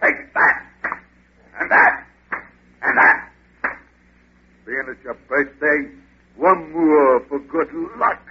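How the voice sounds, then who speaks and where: An actor playing a blustering, cruel old sea captain in a 1940s radio comedy, barking orders heartily. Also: male voice, fairly high pitch, takes it slowly, long pauses. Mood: gruff menace. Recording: muffled vintage radio recording.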